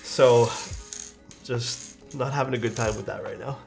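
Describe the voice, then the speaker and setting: A man's voice: medium pitch, steady tone, unhurried, creaky, darkish tone, soft background music. A young man with a stuffed-up, nasal voice, chatting casually on a live stream while he builds a keyboard and reads out chat. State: stuffed-up nose.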